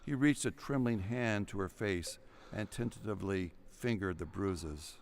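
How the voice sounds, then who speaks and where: A man's voice: on the low side, measured pace, a little hiss or hum. A man narrating an audio drama, reading a scripted story in a dramatic storytelling style. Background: music.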